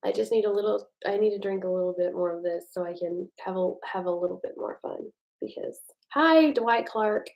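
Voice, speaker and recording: An American woman in a relaxed late-night livestream, talking loosely with her viewers. She reads their comments aloud and pokes fun at herself in an easygoing, chatty way. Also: medium pitch, a little fast, creaky, muffled recording. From United States. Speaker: livestream host. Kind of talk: casual live chat with viewers. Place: home at night.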